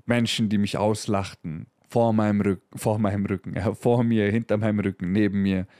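A man's voice: fairly low pitch, flat delivery, moderate pace, mellow, no echo, clean studio sound. A man in his thirties hosting a motivational podcast, talking emphatically and profanely and mixing in English slang. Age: 30s. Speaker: podcast host.

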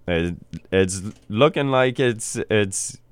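Slow, deep-voiced radio host. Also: male voice, lively intonation, clear, resonant tone, no room sound. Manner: halting, many restarts, slow. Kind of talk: casual interview.

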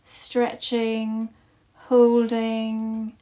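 Slow-paced woman guiding a relaxation class. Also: fairly high pitch, completely flat delivery, dark tone. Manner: soothing, instructive, with long pauses between short phrases. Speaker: yoga nidra instructor.

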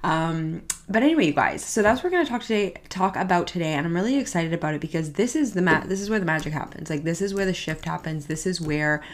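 Young woman host, low voice, stuffy nose, chatty and upbeat, keeps saying you guys. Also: animated, fast, hardly any pauses. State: congested, nasal from a cold. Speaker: podcast host. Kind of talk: casual solo podcast talk.

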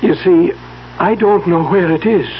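Formal, high-voiced butler. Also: male voice, a little slow. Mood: deferential, formal. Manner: formal, servile, precise. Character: deferential, formal butler of a wealthy household. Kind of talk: 1970s radio drama acting. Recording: dull, hissy 1970s radio recording.

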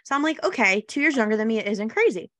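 A woman's voice: fairly high pitch, big pitch swings, quick pace, a bit bright, no echo. A woman in her late twenties hosting a podcast, chatting casually in a bubbly way with many fillers. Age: 20s. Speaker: podcast host. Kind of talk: casual chat.

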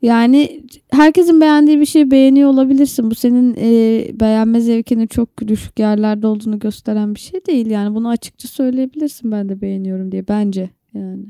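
A woman's voice: on the high side, steady tone, fast talker, few pauses, mellow, clean tone, no room sound, clean studio sound. A woman, co-hosting a podcast in casual, opinionated chat. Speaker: podcast co-host.

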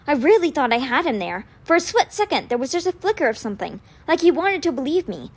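A woman's voice: sits high, very animated, quick pace, voice pushed up. A woman voicing an earnest, anxious teenage boy in an audiobook, stammering, pleading and full of guilt. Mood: hurt, miserable.

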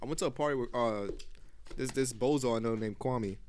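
A man's voice: mid-range voice, fairly quick, darkish tone, slight background noise. A young man chatting casually on a podcast, telling loose stories and breaking into big laughing fits. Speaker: podcast co-host. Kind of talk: casual chat.

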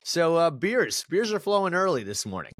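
A man's voice: on the high side, very animated, normal speed, a bit bright, no echo, studio quality. A man hosting a podcast in casual sports chat, talking emphatically, repeating words and swearing casually. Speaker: podcast host.

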